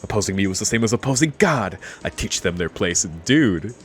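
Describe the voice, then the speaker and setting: A man's voice: lowish voice, animated, a little fast, soft background music. A man streaming a visual novel, reading it aloud and reacting in a casual, amused, informal way. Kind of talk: casual let's play commentary with read-aloud passages.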